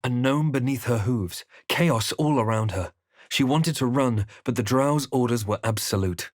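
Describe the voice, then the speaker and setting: A man playing a brooding fantasy adventurer in a game, recounting his journey in a measured, solemn, dramatic way. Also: mid-range voice, dry recording, studio quality, close to an everyday voice. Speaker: player character voice actor.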